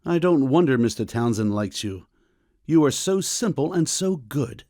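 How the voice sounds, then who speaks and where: Actor playing a stern, mellow-voiced ironic father. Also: mid-range voice, expressive, no echo, studio quality, natural voice. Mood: dry, questioning. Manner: measured, formal, coolly ironic. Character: stern, dry, ironical older father, a doctor. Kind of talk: full-cast audiobook period drama acting.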